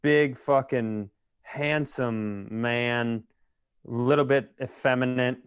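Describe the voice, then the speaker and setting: A man's voice: mid-range voice, fairly even intonation, takes it slowly, clear, resonant tone, dry recording, studio quality. A man ranting casually and comedically as a podcast host, exasperated and swearing freely. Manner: exasperated, profane.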